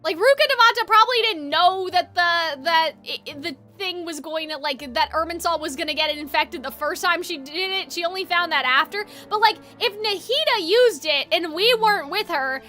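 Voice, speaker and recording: Female game streamer, very high register, bright tone. Casual, rambling commentary addressed to live chat. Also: normal speed, few pauses.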